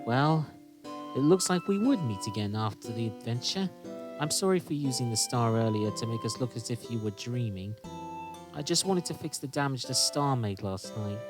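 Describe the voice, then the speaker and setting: A performer playing a calm, resolute guardian of magic in an audio drama, earnest and measured. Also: medium pitch, over music, natural voice. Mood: earnest farewell.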